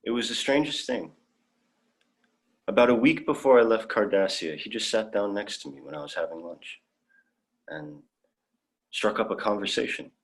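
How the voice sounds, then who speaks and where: A man's voice: lowish voice, normal speed, long pauses, natural voice. A young man playing an earnest, naive alien traveller in a sci-fi audio drama, polite and full of wonder. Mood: wondering, proud. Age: young adult.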